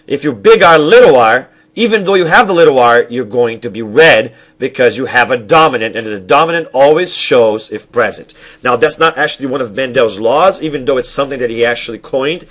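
A man's voice: mid-range voice, expressive, a bit bright. A young male teacher giving a recorded lecture over slides at his desk, explaining step by step, often restarting sentences and checking in with the viewer. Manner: halting, self-correcting explanations with frequent restarts; asks the viewer questions and checks in with "all right?". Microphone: webcam at desk.

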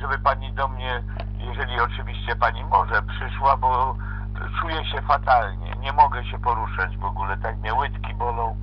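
A man's voice: medium pitch, steady tone, normal speed, slight background noise. A middle-aged man improvising an amateur audio play as a hotel guest, speaking politely and formally. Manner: polite, courteous phrasing. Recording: muffled lo-fi playback with music behind.

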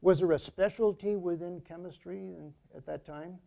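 A man's voice: sits high, fairly even intonation, normal speed, creaky, warm tone. A man who is a priest and university archivist, formally introducing and questioning a guest in an oral history interview. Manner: formal, courteous, frequent affirming murmurs.

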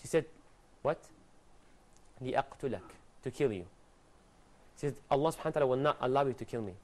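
A young male TV presenter teaching a studio group at a very rapid pace. He is animated, gestures as he talks, and recites verses in quick bursts. His voice sits at a middle pitch and is picked up cleanly by a lapel mic in a studio. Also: a little hiss or hum. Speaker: TV presenter of religious lessons. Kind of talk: religious teaching to studio guests. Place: TV studio with guests.

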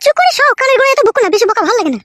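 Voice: extremely high pitch, sing-song, racing, fairly bright tone, no echo, heavily acted. A performer voicing a bossy, short-tempered village wife in a comic cartoon, scolding curtly. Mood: irritated, dismissive. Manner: scolding, curt, threatening. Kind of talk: exaggerated comic cartoon dialogue. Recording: clearly noisy track with clicks.

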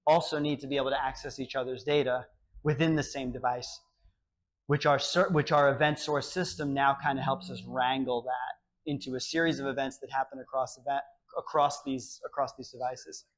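A man in his thirties giving an animated technical talk at a meetup in a small cafe, often acting out example dialogue, recorded from a camera at a distance. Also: medium pitch, normal speed. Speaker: meetup speaker.

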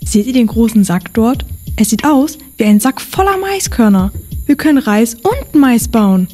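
Resourceful young female runaway actress, highish husky voice, amateur radio play. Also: expressive, moderate pace, a little mellow. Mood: encouraging. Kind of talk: amateur audio drama acting.

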